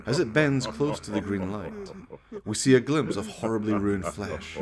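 A man's voice: lowish voice, expressive, moderate pace, crowd noise. A man giving theatrical, wry scripted narration for a horror radio play. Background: music.

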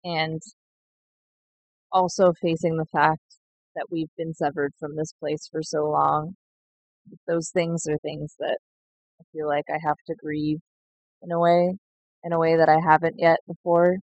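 Young woman talking low and slow, reflective, pretty flat delivery, dry close sound. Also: dry recording, muffled recording. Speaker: seed collective member. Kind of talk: recorded personal reflection.

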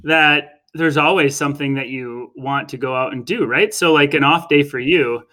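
Teasing guy co-host, fairly high, really bright voice. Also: normal speed. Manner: joking, teasing. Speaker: podcast co-host. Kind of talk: casual podcast interview.

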